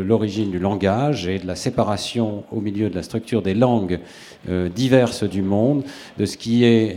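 Low, mellow-voiced man introducing a speaker. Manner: measured, with many hesitations. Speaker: host introducing a guest lecturer. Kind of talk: formal introduction speech. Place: lecture hall.

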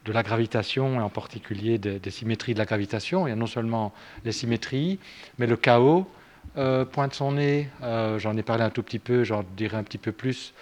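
A man's voice: mid-range voice, fast talker, darkish tone. A man introducing a seminar speaker in a formal, hesitant way, with frequent fillers. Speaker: course lecturer introducing a seminar.

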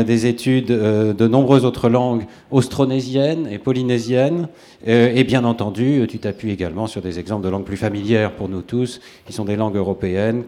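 A man giving a formal introduction of a guest lecturer, measured and full of hesitations. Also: fairly low pitch, warm tone. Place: lecture hall.

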